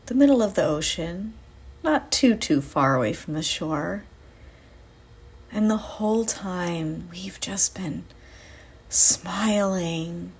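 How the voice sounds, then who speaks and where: Slow, breathy woman guiding meditation. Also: lowish voice. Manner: soothing, breathy, unhurried with long pauses. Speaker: guided meditation host. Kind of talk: guided visualization meditation, first-person.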